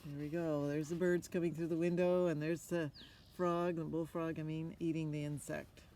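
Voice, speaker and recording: An older woman with a slightly low voice shares a picture book. She chats expressively between passages and puts questions to her listeners. The recording is outdoors, with some noise behind her. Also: level tone, normal speed, mellow. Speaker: storyteller reading aloud. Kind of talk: picture-book read-aloud with casual asides to children. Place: outdoors on a forest floor. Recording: outdoor ambience with noticeable background noise.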